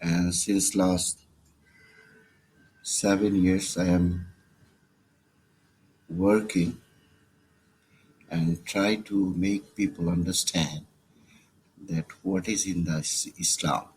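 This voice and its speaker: A man with a Bangladeshi accent leaving a personal voicemail message, with halting, hesitant phrasing. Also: low voice, steady tone, darkish tone. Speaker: caller. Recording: noisy voicemail recording.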